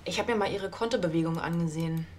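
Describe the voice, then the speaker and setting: An actress playing a brisk, factual female police officer reporting findings in a TV crime comedy. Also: on the low side, darkish tone, a little hiss or hum, close to an everyday voice. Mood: businesslike.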